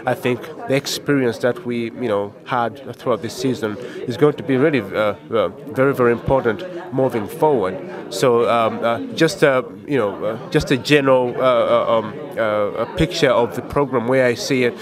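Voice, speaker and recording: A man's voice: mid-range voice, normal speed, few pauses, a crowd in the background. A man in his thirties from Uganda with a Ugandan accent, a soccer coach answering interview questions hesitantly, full of fillers, into a handheld mic indoors. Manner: hesitant, many fillers and restarts. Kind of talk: casual interview. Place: indoor meeting room with a small crowd. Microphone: handheld mic held by the interviewer.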